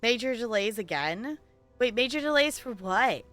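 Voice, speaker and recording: Female game streamer: bright, slightly high voice, chatty off-the-cuff commentary for viewers, light music behind. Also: lively intonation, normal speed. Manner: chatty, informal, talking to viewers. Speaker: game livestreamer. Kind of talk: casual live gameplay commentary and chat.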